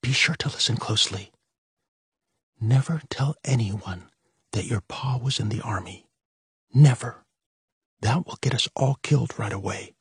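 A man's voice: medium pitch, animated, measured pace, a little mellow, breathy edge, no room sound, studio quality, pitched above the performer's own voice. A man voicing a frightened, stern mother who whispers urgent warnings to her children and drills them harshly.

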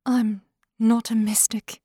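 A woman's voice: a little high, flat delivery, slow pace, warm tone, breathy edge, no room sound, studio quality. A woman voicing a composed, earnest female magic user who leads an adventuring party, patient but firm, in a fantasy audiobook. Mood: firm, exasperated.

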